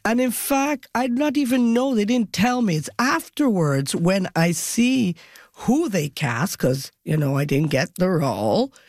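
Slow-spoken woman with a Jamaican lilt. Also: mid-range voice, no echo, clean studio sound. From Montreal, Canada. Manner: lively storyteller, acts out quotes, self-corrects names. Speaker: guest. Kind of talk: casual storytelling interview.

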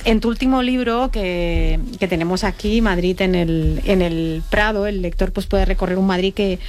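A woman's voice: medium pitch, moderate pace, darkish tone, slight background noise. A woman from Barcelona talking as an interviewer in a conversational interview. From Barcelona, Spain.

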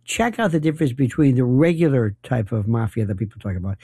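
An older man hosting a late-night call-in radio show, his voice gravelly and mellow. He rambles from thought to thought with opinionated, animated asides. The sound is dry and close, from a studio mic. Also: medium pitch, fast talker, dry recording. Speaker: radio talk show host.

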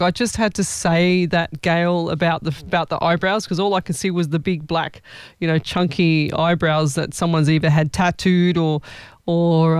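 A woman's voice: on the low side, clean tone, no echo. A woman hosting a casual live chat as a psychic medium, talking in an informal, exclaiming way.

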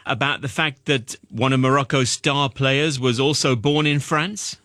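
A man presents a broadcast news programme, putting questions and reading items in a mid-pitched voice. The sound is close and dry, with very little room. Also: steady tone, unhurried, sharp tone, dry recording. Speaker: radio news presenter interviewing guests.

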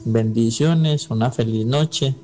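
A man's voice: mid-range voice, takes it slowly, a little mellow. A man with a Colombian accent, calling a radio show and speaking in a casual, personal storytelling way. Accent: Colombian Spanish.

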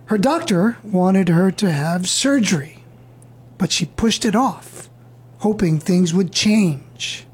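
Woman host of a faith talk show: slow, earnest, gently preaching, breathy tone. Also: mid-range voice, lively intonation.

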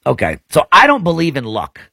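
A man in his forties from Indianapolis, hosting a casual radio talk show in an emphatic, animated way. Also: fairly high pitch, a little fast, vocal fry, husky, no echo, clean studio sound. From Indianapolis, United States. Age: 40s. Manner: animated, emphatic, says dude a lot. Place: radio studio.

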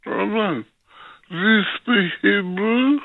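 Slow, halting caller on a tinny phone line. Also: male voice, high voice, no room sound. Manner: halting, hesitant, many pauses. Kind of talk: talkback radio call.

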